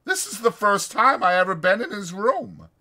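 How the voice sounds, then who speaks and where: A man voicing a stubborn, excitable old swamper with a rustic, ungrammatical drawl for a dramatized audiobook. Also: high voice, normal speed, gravelly, voice pushed up, exaggerated voice. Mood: eager.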